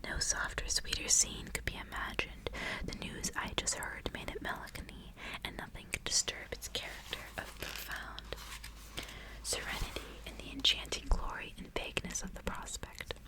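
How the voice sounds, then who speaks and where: A woman reading aloud in a soft, breathy, hushed whisper, in an intimate ASMR style. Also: moderate pace, poor audio. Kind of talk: whispered ASMR audiobook reading with casual asides.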